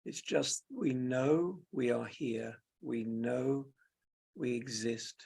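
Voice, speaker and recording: A man leading a guided meditation as a teacher, speaking in short, unhurried phrases with long pauses, his voice gravelly and husky. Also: mid-range voice, a little mellow. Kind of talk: guided meditation, spoken slowly and softly.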